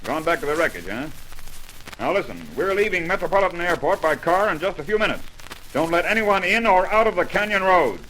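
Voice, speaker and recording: A man's voice: high-pitched, expressive, brisk, sharp tone. A man playing a domineering, brusque airline general manager in 1930s-style radio drama acting. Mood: suspicious. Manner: brusque, demanding, blustering. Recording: noisy old radio recording.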